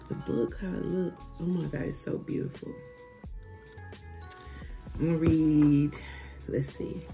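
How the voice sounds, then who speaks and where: A woman in her thirties talks to her viewers from her living room, camera at arm's length. Her voice is low, dark and gravelly, and she chats warmly, calling her viewers "y'all" often. Faint music plays in the background. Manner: chatty, says "y'all" often, warm exclamations over gifts. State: recovering from illness. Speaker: vlogger. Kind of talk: casual vlog chat. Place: living room at home, indoors.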